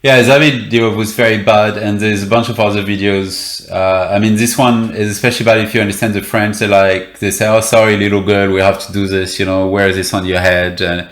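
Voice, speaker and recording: A man's voice: lowish voice, flat delivery, brisk, hardly any pauses, roomy sound. A man, a reporter guest in an interview, explaining events with many fillers and restarts. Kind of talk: interview conversation.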